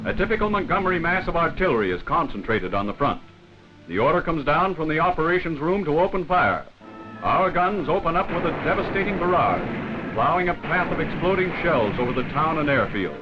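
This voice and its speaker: A man's voice: a little high, big pitch swings, a little fast. A man reading scripted wartime newsreel narration in a formal, dramatic 1940s manner. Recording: dull vintage 1940s film soundtrack. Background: loud background music.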